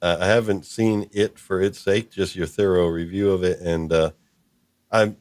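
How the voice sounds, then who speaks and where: A man hosting a podcast in a casual, hesitant and halting way. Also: low-pitched, fairly even intonation, darkish tone. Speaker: podcast host. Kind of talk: casual chat.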